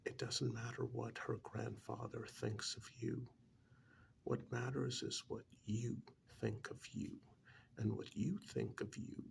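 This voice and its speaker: A man playing a calm, blunt couples therapist in a soft-spoken ASMR role-play, half-whispering with breathy, deliberate, hesitant pauses. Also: medium pitch, a little hiss or hum. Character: calm, blunt male couples therapist counselling married clients. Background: soft clicking of pencil tapping.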